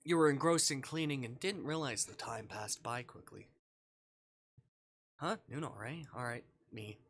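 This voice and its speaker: A man livestreaming a visual novel, switching between jokey chat and reading the text aloud in a playful, expressive way. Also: medium pitch, normal speed. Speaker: live streamer playing a visual novel.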